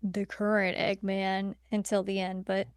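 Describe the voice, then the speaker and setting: A woman's voice: medium pitch, monotone, unhurried, smooth, no echo, clean studio sound. A woman co-hosting a podcast, chatting informally and agreeably, peppering her talk with "like". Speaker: podcast co-host. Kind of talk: casual chat reviewing movies.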